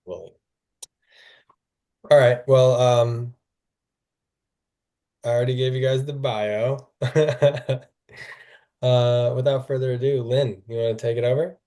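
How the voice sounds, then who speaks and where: A man's voice: medium pitch, slow pace, pauses often. A man casually moderating an online meeting, handing the turn to each speaker over a video call. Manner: casual, relaxed, hands turns to others. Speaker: event moderator.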